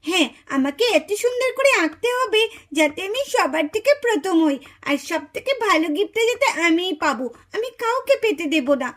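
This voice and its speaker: A woman's voice: very high-pitched, very animated, fairly quick, a bit bright, heavily acted. A woman voicing a jealous, boastful little girl doll who mutters her schemes in a children's puppet story.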